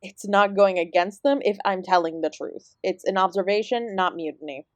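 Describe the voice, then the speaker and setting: A woman's voice: mid-range voice, moderate pace, no room sound, close to an everyday voice. A woman playing an ancient celestial dance teacher in an audio roleplay, sarcastic and exasperated, speaking with sardonic, sweary emphasis. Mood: defiant. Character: ancient female apsara dance guru, sarcastic, exasperated, fiercely independent. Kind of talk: scripted audio roleplay addressed to the listener.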